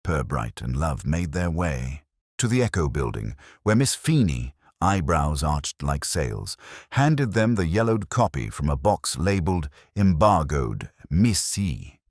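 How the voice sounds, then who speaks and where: A man's voice: very deep voice, very animated, a little slow, no echo, studio quality. A man reading scripted narration of a detective mystery audiobook.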